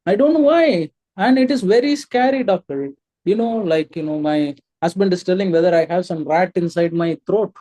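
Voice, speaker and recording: A worried woman in her forties, acted out by a man, answers her doctor earnestly and deferentially in a clinical role-play. The voice is soft and mellow, with little edge to it. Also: sits high, moderate pace. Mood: anxious, earnest. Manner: repeatedly addresses the listener as doctor. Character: worried 43-year-old female patient with hoarse voice.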